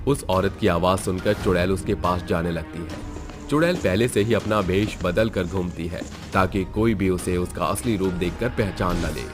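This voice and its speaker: A man with a deep, low voice narrates a scary story as a scripted tale. His delivery is that of a dramatic storyteller, with music playing faintly behind him. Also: normal speed.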